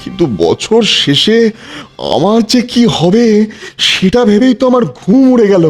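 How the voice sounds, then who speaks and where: A very high-voiced, bewildered cartoon king, acted by a man, with music playing clearly behind him. He is anxious and flustered, and keeps doubling up his words as he tries to make sense of his new station. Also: sing-song, moderate pace. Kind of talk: cartoon voice acting.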